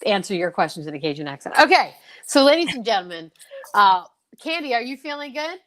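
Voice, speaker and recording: A woman's voice: mid-range voice, big pitch swings, fairly quick, fairly bright tone. A woman hosting, chatting casually as she introduces a play reading. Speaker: host.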